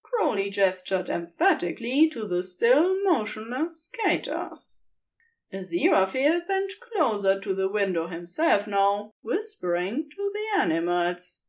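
A woman's voice: medium pitch, very animated, measured pace. A woman reading scripted fiction as the narrator, telling a story.

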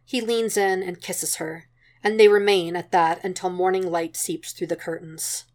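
A woman's voice: on the low side, fairly even intonation, normal speed, a little mellow. A woman reading a piece of fan fiction as scripted narration. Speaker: podfic reader.

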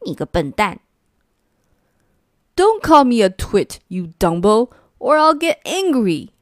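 A woman voicing grumpy male monsters who shout insults through a mountain. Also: mid-range voice, sing-song, slow pace, no room sound, clean studio sound. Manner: shouting name-calling.

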